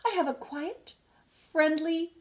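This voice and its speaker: A woman's voice: extremely high pitch, slow, a little mellow, voice pushed up. A woman voicing a small, cheerful storybook goldfish who longs for a pet, with delighted exclamations.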